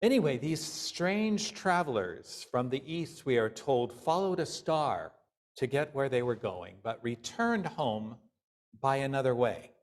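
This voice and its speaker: A male minister delivering a sermon in deliberate, measured phrases with pauses. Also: mid-range voice, lively intonation.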